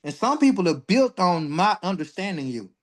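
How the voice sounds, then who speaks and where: A man's voice: high-pitched, sing-song, normal speed. A man in his forties hosting an astrology livestream, talking casually and bluntly, with lots of profanity, and getting worked up when he rants. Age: 40s.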